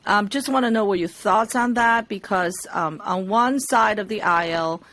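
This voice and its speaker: Female interviewer, mid-pitched, measured pace, hesitant fillers between points. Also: a bit bright. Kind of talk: interview questions.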